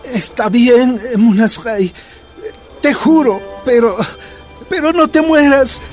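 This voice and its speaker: A man's voice: very high-pitched, takes it slowly, darkish tone, faint music behind. An actor playing a loving, stubborn Bolivian union man and father, reasoning tenderly in a radio soap opera. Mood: anguished, pleading.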